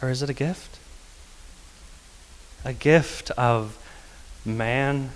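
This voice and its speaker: Soft-voiced man telling a confessional story. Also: medium pitch, very slow. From Texas. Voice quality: soft, feminine-sounding voice. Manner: confessional and wry, with light laughs. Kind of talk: live personal storytelling monologue. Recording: long reverb of a performance hall.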